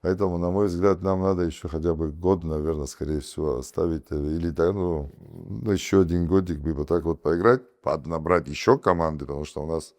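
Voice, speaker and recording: A man with an Abkhaz accent, talking casually as an interview guest, with animated bursts and many fillers and restarts. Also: low voice, brisk, hardly any pauses. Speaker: guest, comedy team captain and producer.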